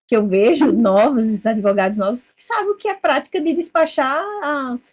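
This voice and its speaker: A woman from southern Brazil with a southern Brazilian accent, hosting a casual interview and telling lively stories that act out quoted dialogue. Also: high voice, moderate pace. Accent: southern Brazilian Portuguese. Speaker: podcast host.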